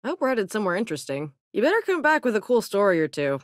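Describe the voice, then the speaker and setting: Sardonic woman acting a renegade scientist, lively pitch. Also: racing, no echo, natural voice. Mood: dry, easygoing. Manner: dry, self-assured, casual, wry. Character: sardonic, clever renegade scientist woman, spaceship crew companion. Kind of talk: video game voice lines.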